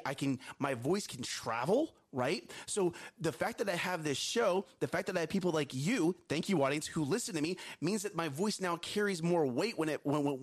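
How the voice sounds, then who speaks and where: A man in his thirties co-hosting a live morning show, giving an earnest motivational talk with a strong voice, often repeating and restarting words. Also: fairly high pitch. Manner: strong voice that carries.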